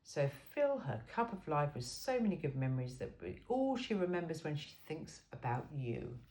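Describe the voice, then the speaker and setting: A woman's voice: sits low, big pitch swings, normal speed, warm tone. A woman reading an informal self-help book aloud in an emphatic, cheeky and frank way. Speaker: amateur reader of a book aloud.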